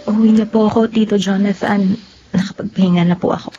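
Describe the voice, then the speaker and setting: A woman playing a gentle, heartbroken young Filipino woman in love in a radio drama, speaking politely and earnestly. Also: medium pitch, fairly even intonation, fast talker, highs cut off, a little hiss or hum, natural voice. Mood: gentle, resigned. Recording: music under the voice.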